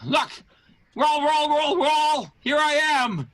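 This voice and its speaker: Very high, frantic vaudeville daredevil, a woman acting. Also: lively intonation, slow pace, full character voice. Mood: indignant shouting. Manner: shouting, exclamatory. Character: frantic, cocky vaudeville wing walker named Riff. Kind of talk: improvised 1920s radio soap opera acting.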